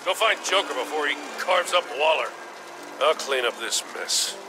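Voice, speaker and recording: A man's voice: fairly high pitch, animated, normal speed, background noise, lightly acted. A man playing a weary, no-nonsense police commissioner in a video game, blunt and matter-of-fact. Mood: exasperated. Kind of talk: video game character acting.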